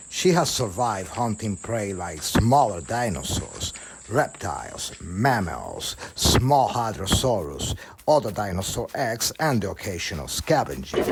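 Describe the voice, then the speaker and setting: A man's voice: on the low side, heavy background noise. A man reading scripted narration in the style of a nature documentary.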